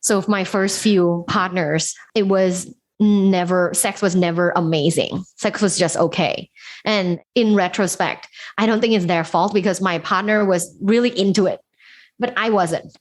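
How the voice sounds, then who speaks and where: A woman's voice: lowish voice, unhurried. A woman from Thailand, a guest sex educator, talking candidly in a casual interview, with lots of fillers and frequent laughs. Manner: candid, chatty, laughs often, many fillers.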